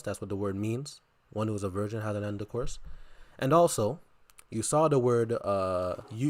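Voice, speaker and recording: A man's voice: mid-range voice, darkish tone. Insistent man on a debate stream. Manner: insistent, repeats his question, cuts in. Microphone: headphones plugged in, computer mic.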